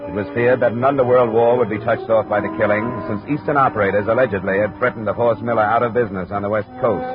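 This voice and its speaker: An actor playing a wry, hard-boiled American investigator, clipped and world-weary, in 1950s radio noir style. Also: male voice, lowish voice, fairly even intonation, quick pace, lightly acted. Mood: terse, narrating. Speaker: lead actor and narrator of a radio detective series. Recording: dull vintage radio recording with music.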